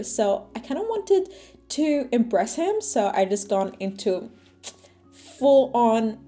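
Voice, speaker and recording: A young woman whose first language is Arabic, chatting casually to camera as a vlogger, full of fillers and asides. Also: fairly high pitch. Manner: chatty, animated, lots of fillers. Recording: faint music underneath.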